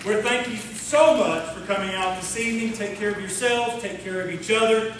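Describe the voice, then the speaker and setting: A man's voice: high voice, moderate pace. A man from Oklahoma with an American accent, hosting a live stage radio show in a sincere, homespun, folksy way. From Oklahoma, USA. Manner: sincere, homespun address to the audience. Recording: echoey hall from far off, noisy.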